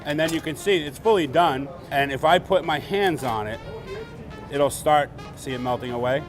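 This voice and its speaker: A man's voice: on the high side, normal speed, over music. A man from Brooklyn, a pitmaster and restaurateur speaking with heartfelt pride about his food. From Brooklyn, New York. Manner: heartfelt, proud. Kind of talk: casual interview.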